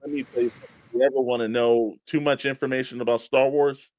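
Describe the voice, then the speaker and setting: A man's voice: medium pitch, steady tone, fairly quick, a little mellow, dry recording, muffled recording. A man co-hosting a toy collectors' show, bantering casually and plugging programs with emphatic hype. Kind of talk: casual radio-style show banter and plugs.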